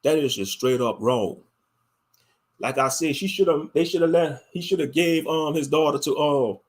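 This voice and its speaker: Male livestream host, Louisiana accent, somewhat high voice, casual and colloquial, repeats himself for emphasis. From Louisiana, United States. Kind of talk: casual livestream commentary reading viewer comments.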